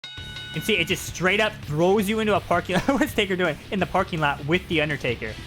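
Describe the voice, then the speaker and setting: A man with a fairly high voice hosts a gaming video in a casual, jokey way. He talks over clearly audible game music. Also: fast, a bit bright. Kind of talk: casual live game commentary.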